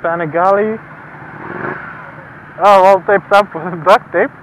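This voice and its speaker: A young man with a fairly high voice narrates his ride from a helmet mic, relaxed and amused, dropping swear words as he goes. Faint engine and road noise sit under his voice. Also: slow, poor audio. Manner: laid-back, laughing, swears casually. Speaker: motovlogger. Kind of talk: casual helmet-cam commentary. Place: on a motorcycle outdoors on the road. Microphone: helmet-mounted mic.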